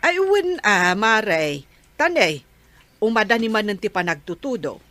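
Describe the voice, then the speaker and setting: A village councilwoman in an Ilocano comedy radio drama. Her voice is bright and forward, with an Ilocano accent. She comes across as practical and obliging. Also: mid-range voice, very animated, unhurried, no echo. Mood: agreeable. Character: practical, obliging village councilwoman who organises clean-ups.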